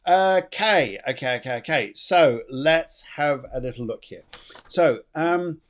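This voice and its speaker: A man's voice: fairly high pitch, animated, a little slow. A middle-aged man hosting a live online chat show, chatty and rambling, laughing often. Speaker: host.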